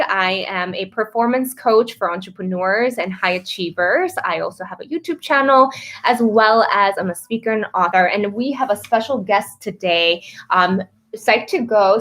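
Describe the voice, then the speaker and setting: A woman's voice: mid-range voice, fairly bright tone. A woman from Korea hosting a casual livestream chat, upbeat and gushing, talking straight to viewers. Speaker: host, performance coach. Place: café in Seoul.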